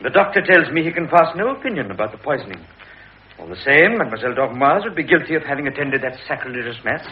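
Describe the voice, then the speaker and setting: A man's voice: on the high side, big pitch swings, fast. An actor playing a proud, stern king in a vintage radio drama. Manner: regal, imperious. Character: proud, stern French king demanding obedience. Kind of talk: 1950s British radio drama acting. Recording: muffled vintage radio recording, noisy.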